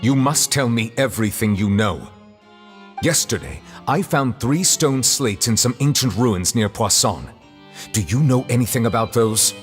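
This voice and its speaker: An actor playing a stern, dignified male chief justice who questions in a formal, measured, grave way, heard as game audio over soft music. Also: mid-range voice, close to an everyday voice.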